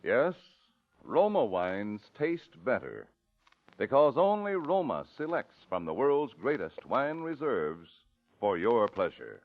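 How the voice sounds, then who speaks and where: A male 1940s radio pitchman with a mid-range voice and animated intonation. He savours the advertising copy over a thin, phone-like vintage broadcast. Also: slow. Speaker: radio sponsor announcer. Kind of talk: 1940s scripted advertising read.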